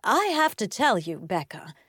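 A woman's voice: medium pitch, very animated, unhurried, fairly bright tone, breathy edge, no room sound, clean studio sound, heavily acted. A woman voicing a confiding, oversharing schoolteacher with stage dreams in a children's audiobook.

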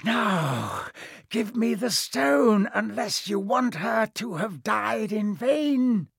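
A cold, evil dark wizard for a storybook. The voice sits high above a natural register, hissing and sometimes whispery. Every word is drawn out slowly with menace. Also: big pitch swings, creaky, husky, no echo, clean studio sound, heavily acted. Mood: mocking, menacing. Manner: slow, drawn-out, menacing delivery.

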